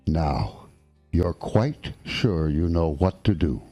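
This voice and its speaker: An actor playing a pompous, easily outraged centuries-old English ghost knight in a blustering, theatrical radio drama style. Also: male voice, sits low, slow pace, muffled recording, over music. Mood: conspiratorial.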